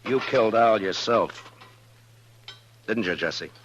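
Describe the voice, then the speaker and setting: Casting: deep, deliberate, weary frontier lawman, grave and sparing with words, old radio drama. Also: highs cut off. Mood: accusing, firm. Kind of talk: 1950s radio Western acting. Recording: noisy old radio recording.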